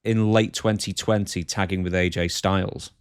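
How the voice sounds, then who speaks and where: Guy co-hosting a podcast, lowish voice, close dry sound, just chatting. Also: steady tone, moderate pace, clear, resonant tone, no room sound. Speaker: podcast co-host. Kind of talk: casual chat.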